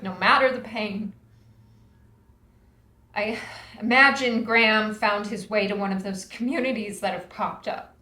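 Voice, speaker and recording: A woman's voice: mid-range voice, sharp tone, close to an everyday voice. A woman reading a grieving, reflective woman. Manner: reflective, earnest. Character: grieving woman, Maggie, lost her father. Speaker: actor in a table read. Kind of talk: screenplay table reading. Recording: echoey room.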